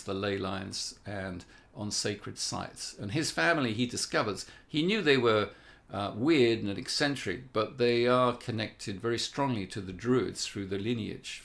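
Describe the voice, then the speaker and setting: A man's voice: lowish voice, gravelly. A man giving an earnest, explanatory spiritual talk as an author presenting his own book. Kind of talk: spiritual talk with passages read aloud.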